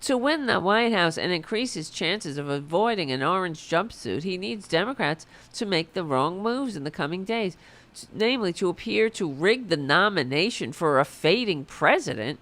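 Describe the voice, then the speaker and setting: A woman with a raspy voice hosting a political commentary show, reading an article aloud and answering it with sarcastic, profane indignation. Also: lowish voice, lively intonation, normal speed, a bit bright.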